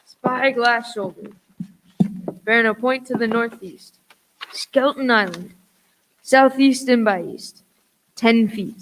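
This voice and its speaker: A woman's voice: a little high, slow, long pauses, a little hiss or hum, low-quality recording. A woman playing a sly, swaggering pirate ringleader in a radio play, with wheedling sailor talk. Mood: excited, demanding.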